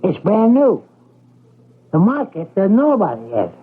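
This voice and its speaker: A man's voice: sits high, wildly swooping pitch, measured pace, husky, heavily acted. An actor playing a sly coin dealer in an old-time radio drama, needling and impatient as he bargains. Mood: pushy haggling. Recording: muffled vintage radio broadcast recording.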